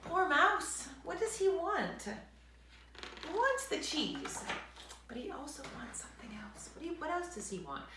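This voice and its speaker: Animated woman reading a children's story, echoey room. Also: high voice, background noise. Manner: dramatic emphasis, drawn-out words, hushed asides. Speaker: read-aloud storyteller for children. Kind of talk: expressive children's story reading with asides to listeners.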